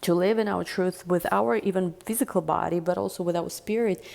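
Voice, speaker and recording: A woman giving a casual tarot reading in a deep, chesty voice, rambling and reflective with many fillers. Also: steady tone, brisk, gravelly, darkish tone. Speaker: tarot reader.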